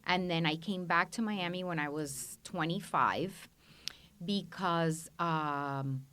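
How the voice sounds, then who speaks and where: A woman's voice: on the low side, slow pace, fairly bright tone. A middle-aged woman from Colombia answering conversationally as a guest in a studio podcast interview. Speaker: podcast guest, wine shop and restaurant owner.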